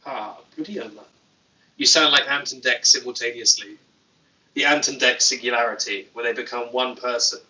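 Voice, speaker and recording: A man hosting a casual livestream, reading viewer comments with a deadpan, playful manner and jokey asides. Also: mid-range voice, normal speed, far from the mic, rough recording. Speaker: YouTuber livestream host.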